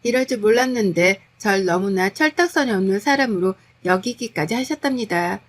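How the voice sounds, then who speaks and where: A woman's voice: medium pitch, expressive, moderate pace. A woman reading a scripted first-person story as narrator, in a polite, confiding storytelling manner.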